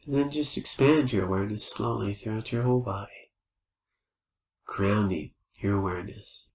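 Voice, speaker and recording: Gravelly man guiding meditation in echoey room. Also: lowish voice. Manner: gentle, unhurried invitations with pauses. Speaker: meditation teacher. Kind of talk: guided meditation. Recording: roomy, echoing space.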